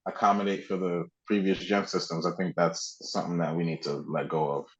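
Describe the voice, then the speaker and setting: A man's voice: sits low, brisk, highs cut off. A man co-hosting a podcast, chatting informally and conversationally about video games. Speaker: podcast co-host. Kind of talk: casual video game discussion.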